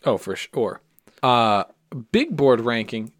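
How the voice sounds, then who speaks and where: A man with a middle-pitched voice co-hosting a podcast, recorded dry and close. He sounds laid-back and keeps the conversation moving. His voice is a little hoarse. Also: big pitch swings, slow, dry recording. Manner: laid-back, steers the show along. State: losing his voice. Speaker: podcast co-host. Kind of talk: casual podcast banter.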